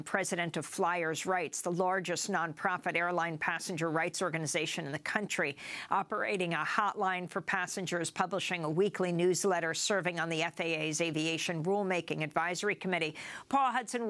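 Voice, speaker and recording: A woman's voice: fairly low pitch, a bit bright. A woman with an American accent presenting the news and interviewing as a program host, often reading from prepared copy.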